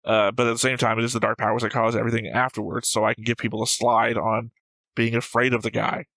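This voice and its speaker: A man's voice: medium pitch, flat delivery, fast, sharp tone, no echo, low-quality recording. A man who hosts a reaction show, talking casually and discursively about a series, rambling and swearing freely.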